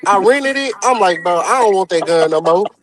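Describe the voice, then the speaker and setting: A man's voice: high-pitched, lively intonation, moderate pace, a crowd in the background. A man on a casual livestream chat, telling stories in a joking, slangy way.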